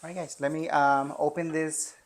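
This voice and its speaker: Relaxed woman podcast host. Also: sits low, normal speed. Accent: Texas. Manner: relaxed, agreeable, backchanneling. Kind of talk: casual chat.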